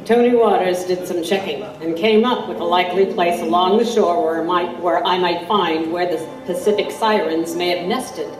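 Intrepid Victorian-era newswoman, acted by a woman, brisk and probing, for audio drama; roomy, distant sound. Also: lowish voice, steady tone, close to an everyday voice. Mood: narrating. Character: intrepid, inquisitive woman newspaper reporter in steampunk 1889. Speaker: lead actor and narrator. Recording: roomy, distant, faint background noise.

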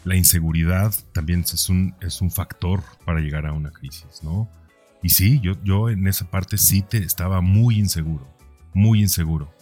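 A man in his forties with a deep, low voice speaks as a podcast host over music. He thinks aloud about himself in a conversational way, often rounding off a thought with a small questioning tag. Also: steady tone, moderate pace, mellow. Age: 40s. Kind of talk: reflective personal podcast monologue.

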